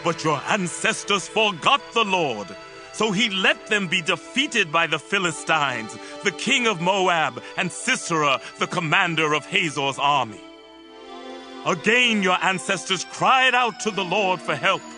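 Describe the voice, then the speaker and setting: A man's voice: high-pitched, lively intonation, sharp tone, heavily acted. A man playing a stern, solemn prophet admonishing his people in a dramatized scripture reading. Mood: stern, reproachful. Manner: slow, grave, exhorting. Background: music.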